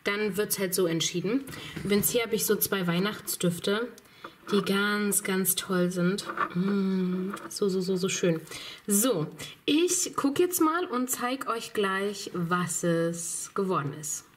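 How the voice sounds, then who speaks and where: A woman's voice: mid-range voice, takes it slowly, slight background noise. A woman in her thirties, slightly under the weather, chatting to viewers as she films around her home. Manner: chatty, enthusiastic, addressing viewers directly. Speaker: vlogger. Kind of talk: casual vlog walkthrough. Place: home, indoors.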